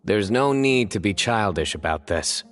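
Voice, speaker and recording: An actor playing a stern, dry official who speaks bluntly, in a game scene with faint music. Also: male voice, lowish voice, animated, moderate pace, natural voice.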